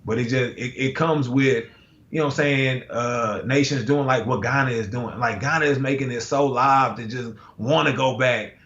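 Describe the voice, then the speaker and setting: A man's voice: mid-range voice, normal speed, fairly bright tone. A man from the United States, a guest artist talking casually in a laid-back, slangy way with lots of fillers and restarts over a video call. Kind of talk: casual conversation. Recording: roomy, dull lo-fi call audio.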